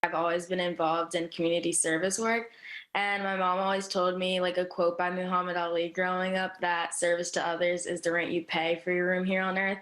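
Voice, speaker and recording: A teenage girl with a fairly low, flat voice answers interview questions casually, filling pauses often. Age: teens. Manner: casual, filler-heavy. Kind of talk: interview soundbites.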